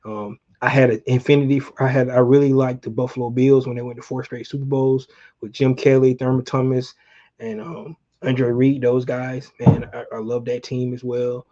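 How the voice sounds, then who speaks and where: Mellow man, dull lo-fi stream. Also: medium pitch, flat delivery, moderate pace. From Atlanta, Georgia, United States. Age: middle-aged. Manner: conversational, addresses viewers directly, colloquial. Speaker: sports livestream host. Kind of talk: casual fan chat, reminiscing about sports.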